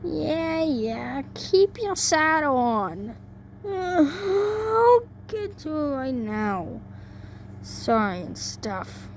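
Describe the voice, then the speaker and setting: A woman voicing a tired, defensive young female pegasus pony in a story reading, grumpy and halting. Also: high-pitched, big pitch swings, voice pushed up, full character voice. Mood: groggy, grumpy. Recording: noisy amateur home recording.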